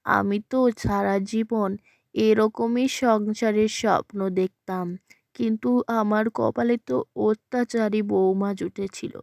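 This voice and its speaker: A woman's voice: medium pitch, steady tone, a little slow, creaky, a little mellow, dry recording, studio quality. A woman voicing a plaintive, reproachful mother-in-law in a cartoon story.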